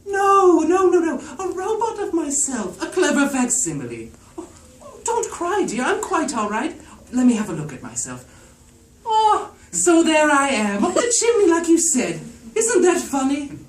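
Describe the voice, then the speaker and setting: A man voicing a fluttery, giggly society lady in falsetto, recorded in a roomy space from a distant mic. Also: huge pitch swings, unhurried, heavily acted. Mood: breezy. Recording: roomy sound from a distant mic, faint hiss.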